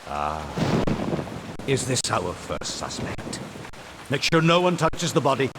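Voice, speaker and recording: An imperious, wrathful inquisitor commands and threatens in theatrical, archaic phrasing. The mid-pitched voice is gravelly and swings widely, like a game villain, with clear storm noise behind. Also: male voice, very animated, slow pace. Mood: cold threat. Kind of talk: dramatic video game cutscene acting. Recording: hissy, noisy rainstorm behind voice.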